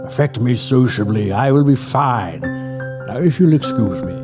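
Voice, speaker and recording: A man's voice: mid-range voice, expressive, unhurried, close to an everyday voice. A man playing an eager retired scholar. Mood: obsessed. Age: older. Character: scholarly retired male doctor, obsessive, brusque. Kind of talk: audio drama acting. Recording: music score underneath.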